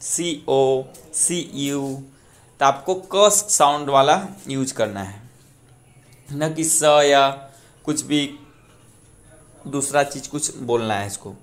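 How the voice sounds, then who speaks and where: A young man from Bihar, India, teaching a pronunciation lesson and repeating each word slowly for practice. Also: medium pitch. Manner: slow, repeats words drill-style. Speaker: language teacher.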